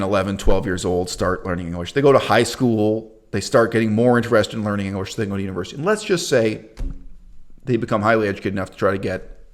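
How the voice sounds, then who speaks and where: A man hosting his own channel, giving an emphatic, opinionated talk on history, with loud bursts that drop to quiet asides. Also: mid-range voice. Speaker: channel host.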